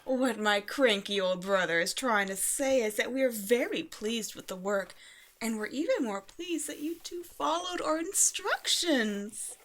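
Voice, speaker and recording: A woman acting a sly, smooth-talking villainous younger sister in a fantasy audio roleplay. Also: on the high side, animated, a little slow. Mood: sweetly smug.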